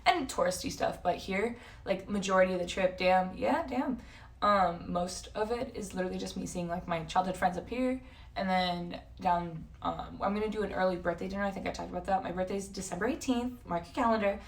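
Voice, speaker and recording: A woman's voice: fairly low pitch, fairly quick, vocal fry, far from the mic. A woman in her early twenties with a North American accent, chatting casually to livestream viewers in a rambling, slangy, self-interrupting way. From San Jose, California and Toronto, Canada. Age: early 20s.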